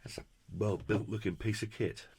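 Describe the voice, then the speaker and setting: A man's voice: on the low side, steady tone, moderate pace, a little mellow, breathy edge. A British man presenting a casual hands-on product review in a chatty, jokey, effusive way with quaint turns of phrase. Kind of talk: casual hands-on unboxing commentary.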